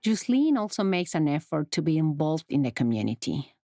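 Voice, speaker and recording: A woman narrating a documentary report in a calm, descriptive, scripted manner. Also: low voice, a little fast. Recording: studio voice-over with music bed.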